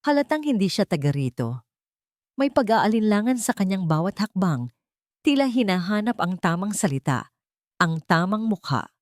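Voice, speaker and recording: A woman's voice: mid-range voice, big pitch swings, normal speed, no room sound, studio quality. A woman with a Filipino accent reading scripted audiobook narration as a storyteller.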